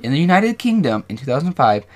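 A man co-hosting a podcast, talking casually and reading from notes, with halting, rambling explanations. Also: mid-range voice, very animated. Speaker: podcast co-host. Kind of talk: casual discussion with some reading aloud from notes.

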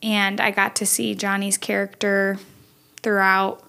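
A young woman talking thoughtfully and softly in a casual interview, pausing on fillers as she recalls things. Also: medium pitch, monotone, vocal fry. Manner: thoughtful, soft-spoken, with fillers.

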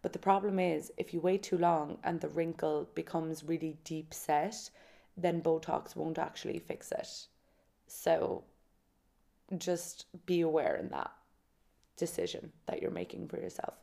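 A woman's voice: low voice, fairly even intonation, unhurried, vocal fry, dark tone. A woman in her late twenties or so hosting a solo podcast, chatting candidly and casually, with self-mocking humor and dramatic emphasis. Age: late 20s or about 30. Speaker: podcast host. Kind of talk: casual solo podcast monologue, personal storytelling.

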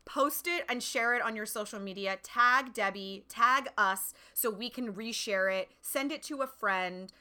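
A woman's voice: a little high, measured pace, few pauses, pushed and bright. A woman hosting a podcast, bubbly and upbeat, with a chatty style full of "like". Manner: bubbly, upbeat, uses a lot of "like". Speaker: podcast host.

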